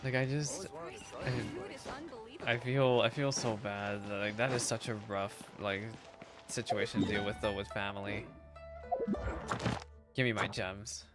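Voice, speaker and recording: A woman's voice: extremely low pitch, steady tone, unhurried, long pauses. A woman streaming a video game with casual, chatty, informal reaction commentary. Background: game music.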